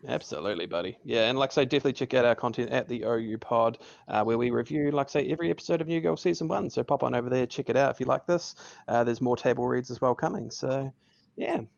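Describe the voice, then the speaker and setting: A man's voice: medium pitch, quick pace, vocal fry, no room sound. A man hosting a podcast table read and chatting casually, with an upbeat, talkative manner. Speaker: podcast host. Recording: dull lo-fi audio.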